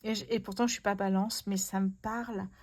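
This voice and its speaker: A woman gives a tarot reading to her viewers in a casual, personal style. Her delivery is effusive and full of wonder, often repeating her amazement. Her voice sits at a middle pitch for a woman. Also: fairly even intonation, a little fast, a little mellow. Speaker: host, tarot card reader.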